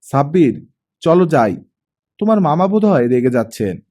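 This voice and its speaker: Male narrator as annoyed mother, storybook dialogue, raised pitch, questioning, chiding, lively swings. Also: moderate pace. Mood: coaxing. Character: brisk, easily annoyed mother of grown daughters.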